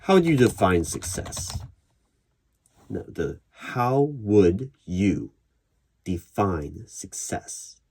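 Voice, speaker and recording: A man playing an interviewer in an ASMR job interview roleplay, putting probing questions in a measured way. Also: on the low side, very animated, a little mellow. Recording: clear background noise. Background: computer keyboard typing and clicks.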